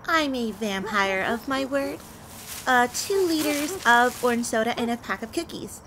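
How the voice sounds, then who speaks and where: A woman's voice: on the high side, slight background noise, rough recording. A woman playing a flirty, teasing, confident vampire in an intimate audio roleplay spoken to the listener. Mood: playful, coaxing. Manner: playful, teasing, unhurried delivery with soft breathy asides.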